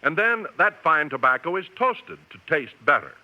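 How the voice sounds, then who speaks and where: Male radio announcer, 1950s sponsor pitchman, highish animated read, slightly muffled vintage broadcast. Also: moderate pace.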